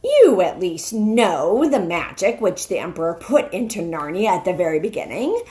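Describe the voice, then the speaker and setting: A woman voicing a cruel, imperious witch queen in a storybook, with a savage, shrill, commanding manner. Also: very animated, moderate pace, dark tone. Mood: furious.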